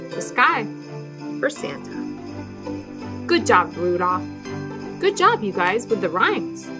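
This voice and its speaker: A middle-aged woman telling stories and talking to young children as a storytime host, with an expressive, deliberate sing-song delivery and dramatic emphasis. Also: mid-range voice. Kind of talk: read-aloud storytelling and talk to young children. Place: small indoor room with fabric backdrop.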